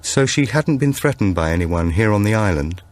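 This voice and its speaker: A man's voice: lowish voice, expressive, normal speed, pitched above the performer's own voice, close to an everyday voice. A man reading as a blunt, persistent policewoman, direct and insistent in questioning.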